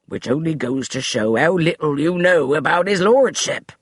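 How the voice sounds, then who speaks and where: A man voicing a tall, stern butler who speaks in rasping, forbidding tones. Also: on the high side, animated, normal speed, no room sound, clean studio sound, voice pushed up. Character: tall stern male butler guarding an earl's door.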